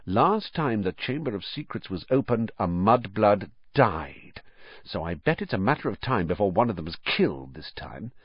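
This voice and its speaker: A man's voice: on the low side, sing-song, normal speed, breathy edge, no echo, dull audio. A man reading an audiobook voices an arrogant, spiteful teenage schoolboy with a scornful drawl. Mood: petulant, gloating. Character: sneering arrogant schoolboy, early teens, spiteful. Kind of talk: audiobook character voice.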